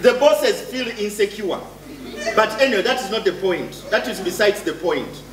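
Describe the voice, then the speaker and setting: Jealous Ugandan husband, high-pitched, insistent, repetitive, stage comedy, echoey distant recording. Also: male voice, lively intonation, moderate pace, crowd noise. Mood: aggrieved. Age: middle-aged. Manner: repetitive, emphatic, indignant. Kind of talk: live stage comedy acting. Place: theatre stage.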